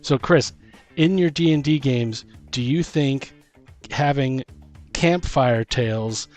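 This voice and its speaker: Male podcast host, mid-pitched, casual tabletop gaming chat, rambling delivery, light music bed. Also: slow, darkish tone. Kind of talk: casual discussion of tabletop role-playing games.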